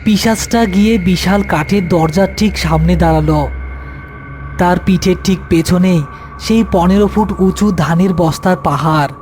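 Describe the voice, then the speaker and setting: A male narrator tells a scripted horror story. His voice is high for a man, with faint music running behind it. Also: a little slow, darkish tone.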